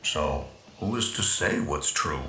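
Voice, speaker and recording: A man's voice: rumbling low, lively intonation, measured pace, pushed and bright, slight background noise, exaggerated voice. A man playing a shrewd, wry underworld character briefing an operative in a video game. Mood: conspiratorial, wry. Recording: echoing voice over music.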